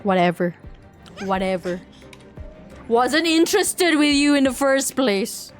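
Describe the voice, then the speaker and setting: A woman's voice: high-pitched, sing-song, slow pace. A woman streaming a game with casual, playful chat, often exclaiming and repeating her phrases. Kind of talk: casual livestream gameplay chat. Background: game music.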